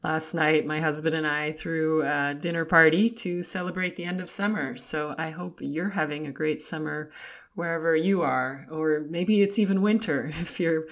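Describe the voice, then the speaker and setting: A woman with a low-pitched voice, a touch raspy, hosts her own podcast alone. She talks in a loose, chatty way and laughs lightly between phrases. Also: normal speed. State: slightly raspy voice. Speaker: podcast host. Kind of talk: casual solo podcast talk.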